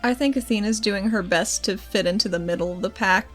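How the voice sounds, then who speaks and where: A woman's voice: mid-range voice, fairly quick, fairly bright tone. A woman chatting casually as a player in a tabletop roleplaying game, describing what her character does. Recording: voice over background music.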